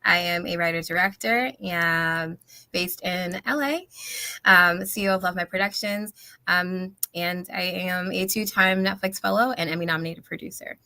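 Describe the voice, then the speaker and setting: A woman introducing herself as a writer-director on a panel, speaking in a measured way with some fillers. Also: lowish voice, bright, forceful tone, dry recording.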